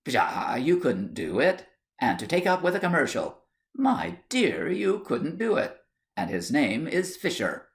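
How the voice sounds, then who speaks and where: A man's voice: a little high, very animated, a little slow, vocal fry, voice pushed up, heavily acted. A man voicing a placid, stolid husband in a storybook tale, dry and matter-of-fact. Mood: dryly dismissive.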